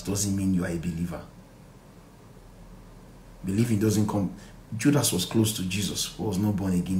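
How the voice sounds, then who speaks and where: A middle-aged man with a Nigerian accent teaches scripture in a fairly low voice. He speaks with deliberate emphasis, repeating key phrases and posing questions to his listeners. The phone recording has clear room echo and a little background noise. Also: darkish tone. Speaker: pastor leading a Bible study. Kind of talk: live Bible study, reading verses aloud and explaining them. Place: small indoor room. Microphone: phone at arm's length.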